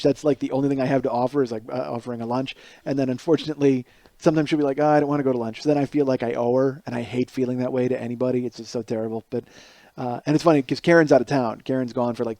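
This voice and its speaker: Host, male, 40s, noticeable lisp, self-deprecating rambler, mellow tone, dry close sound. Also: mid-range voice, no room sound. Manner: rambling, self-mocking, animated storytelling. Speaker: podcast host. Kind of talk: solo comedic monologue.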